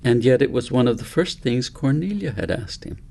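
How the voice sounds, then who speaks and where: A man's voice: medium pitch, sing-song, fairly quick, vocal fry, dark tone. A man reading a storybook aloud as scripted narration. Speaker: author reading own book aloud.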